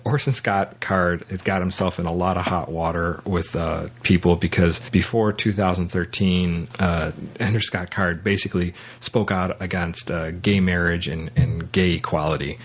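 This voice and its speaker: Male podcast host, low register, informal discussion style, halting and self-correcting. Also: steady tone, husky. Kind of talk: casual film and book discussion.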